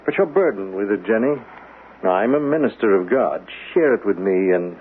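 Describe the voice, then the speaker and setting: An actor playing an earnest, gently probing minister in a radio drama, hesitant, solemn and measured in manner. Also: male voice, fairly low pitch, lively intonation, natural voice. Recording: tinny, noisy vintage radio broadcast.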